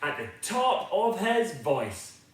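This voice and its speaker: A man's voice: high-pitched, sing-song, slow pace. A man reading a children's picture book aloud in a theatrical, sing-song, inviting way. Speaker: storybook reader. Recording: very echoey, reverberant room.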